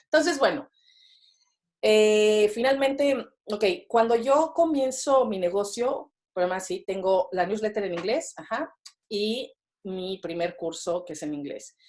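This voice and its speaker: A woman's voice: medium pitch, lively intonation, measured pace, a little mellow. A Mexican woman in her thirties chatting as a podcast guest, with lots of fillers and tag questions. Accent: Mexican Spanish. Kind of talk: casual interview conversation.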